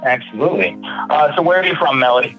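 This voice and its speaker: A man's voice: mid-range voice, lively intonation, fast talker, faint music behind. A man hosting a podcast and casually interviewing a guest. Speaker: podcast host. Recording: thin phone-like narrow-band audio.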